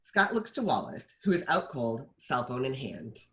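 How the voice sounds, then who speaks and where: A woman reads screenplay stage directions as scripted narration, with a lively, varied pitch. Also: moderate pace, a little hiss or hum.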